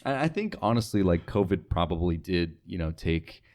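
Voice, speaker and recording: A man's voice: low voice, big pitch swings, moderate pace, a little mellow. A young man in a casual podcast chat, speaking informally and wondering aloud. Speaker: podcast guest, radio sales associate.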